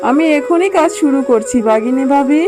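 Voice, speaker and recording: A high, meek mother jackal from a children's cartoon, voiced by a woman. She is gentle and deferential, a poor maid who pleads and soothes. Music plays clearly behind the voice. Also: moderate pace, lightly acted. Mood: meek, pleading.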